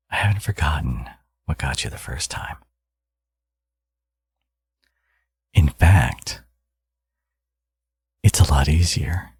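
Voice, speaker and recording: A man playing a smug, flirtatious villain in an intimate ASMR audio roleplay, teasing and coaxing, with a gravelly, husky voice. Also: rumbling low, a little mellow, no room sound, clean studio sound, heavily acted. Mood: seductive, persuasive.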